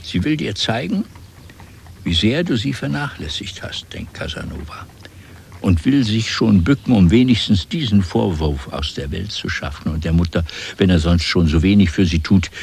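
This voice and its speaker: A man narrating a literary radio play in an expressive, dramatic storytelling manner. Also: low-pitched, moderate pace, creaky, slight background noise.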